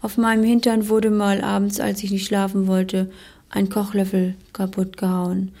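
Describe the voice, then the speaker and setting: A woman sharing personal recollections in an unscripted, halting and plain-spoken way. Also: medium pitch, completely flat delivery, warm tone.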